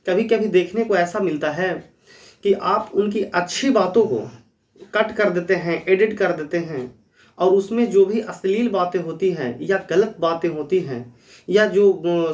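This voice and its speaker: A man's voice: on the high side, a little mellow, far from the mic. A man hosting an explainer for viewers, talking in an earnest, instructive way and repeating key points. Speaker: host of a legal awareness channel.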